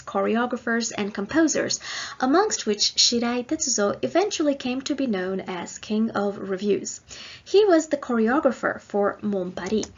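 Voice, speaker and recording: Woman reading out a lecture, kinda husky, takes it step by step, dull lo-fi audio. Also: fairly high pitch. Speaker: guest lecturer. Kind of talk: scripted academic lecture.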